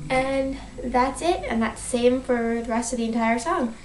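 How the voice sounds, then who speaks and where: A woman's voice: fairly high pitch, normal speed, roomy sound, poor audio. A young woman with a cold, sniffling as she gives a casual guitar tutorial from her bedroom. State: sick, sniffling. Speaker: guitar teacher. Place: small bedroom.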